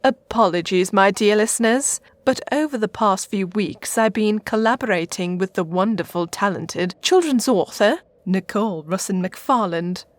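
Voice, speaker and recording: A woman's voice: mid-range voice, moderate pace, few pauses, dry recording, lightly acted. A woman with an English accent playing a haughty, teasing witch and castle lady in a radio sitcom, with a theatrical, mock-grand manner. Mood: gracious, sly. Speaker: host of a comedy radio show.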